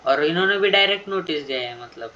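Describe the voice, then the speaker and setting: A man's voice: on the high side, animated, racing, pushed and bright. A man with an Indian accent giving casual running commentary as he streams a game. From India, Maharashtra. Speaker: gameplay streamer. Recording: dull, lo-fi mic, faint noise.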